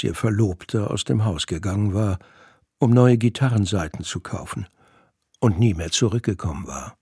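A man's voice: medium pitch, darkish tone, breathy edge, no echo, studio quality. A man reading scripted fiction narration in German as the narrator of an audio drama.

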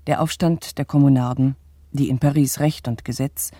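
A pompous nineteenth-century bourgeois narrator in a radio drama. His voice is dark and mellow, recorded very dry. He addresses the public in formal, indignant, declamatory style. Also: medium pitch, fairly even intonation, normal speed, breathy edge, no echo. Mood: grave indignation. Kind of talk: formal old-fashioned scripted address to an audience.

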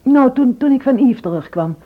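An actress playing an earnest, polite sister in a radio play. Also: female voice, sits high, animated, normal speed. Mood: uneasy, confiding. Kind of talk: Dutch radio drama acting. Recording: muffled old radio play recording.

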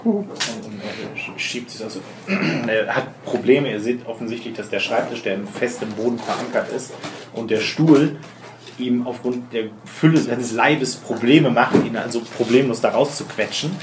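A man's voice: mid-range voice, moderate pace, background noise. A man running a tabletop role-playing game, narrating scenes to his players with a wry, playfully dramatic touch. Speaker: game master. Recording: roomy echo, music underneath.

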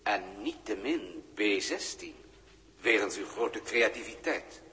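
A man playing a deferential, measured alien subordinate, formal and ceremonious, in a 1960s radio play with long reverberation. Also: lowish voice, animated, pushed and bright.

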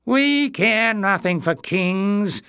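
A man's voice: high voice, expressive, extremely slow, creaky, sharp tone, clean tone, dry recording, pitched above the performer's own voice, exaggerated voice. A man voicing a cruel, mocking grey furry creature who leads a pack and speaks in clipped broken sentences, in a dry storybook reading. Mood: sneering.

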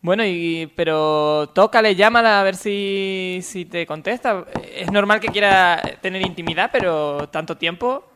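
A man's voice: high voice, unhurried, few pauses, bright, forceful tone, clear, resonant tone. A man with an accent from Spain hosting a comedy call-in radio show. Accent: Spanish (Spain). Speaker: radio host.

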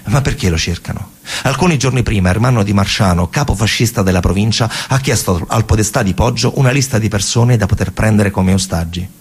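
A man with a Roman accent delivering a dramatic theatre monologue in a colloquial, emphatic way, his voice husky and gravelly. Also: fairly low pitch, brisk. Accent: Roman Italian. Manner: colloquial, emphatic storytelling with repeated phrases. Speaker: stage actor and storyteller.

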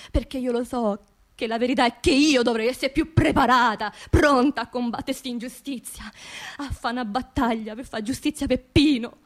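Woman to play working-class Roman widow, high voice, raw grief and anger, intimate yet impassioned radio drama monologue in street dialect. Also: lightly acted. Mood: self-reproaching anger. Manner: confiding, impassioned, repeating phrases for emphasis. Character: grieving, angry working-class widow of a construction worker.

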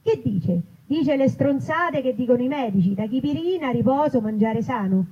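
A woman's voice: high-pitched, lively intonation, normal speed, mellow, husky. A woman voicing an exasperated, coarse Roman woman grumbling on the phone, in a live story reading. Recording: dull, noisy live event recording.

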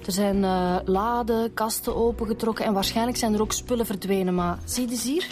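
An actress with a Flemish accent playing a matter-of-fact police detective in a radio drama, businesslike with small hesitant pauses. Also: female voice, mid-range voice, steady tone, a little mellow, soft background music, lightly acted.

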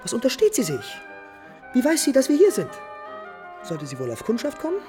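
An actor playing an imperious, anxious, quick-tempered prince in a classical German radio drama, speaking theatrically in abrupt questions and commands. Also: male voice, very high voice, huge pitch swings, a little mellow, faint music behind, exaggerated voice. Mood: alarmed, impatient.

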